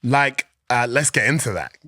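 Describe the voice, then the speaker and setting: Guest: man, animated, loose and chatty, close dry mic. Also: medium pitch, normal speed, dry recording. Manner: animated, chatty, laughs often, many fillers. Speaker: podcast guest, organisation founder. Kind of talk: casual interview chat.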